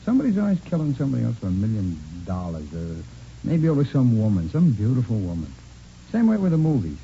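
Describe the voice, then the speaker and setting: A cocky, talkative male murder suspect in an old radio police drama. His pitch moves around freely as he rambles with casual, unrepentant ease. The vintage recording is dull, with faint noise behind him. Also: huge pitch swings, quick pace, mellow. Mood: chatty, self-satisfied. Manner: rambling, casual, repeats himself with stammered restarts.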